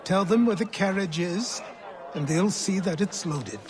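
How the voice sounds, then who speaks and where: An actor playing a weary, stern older mentor in a video game, measured and commanding as he instructs. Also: high-pitched, lively intonation, a crowd in the background. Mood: stern, reflective. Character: weary, stern old mentor, worldly and guarded man. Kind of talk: video game cutscene acting. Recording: loud background music.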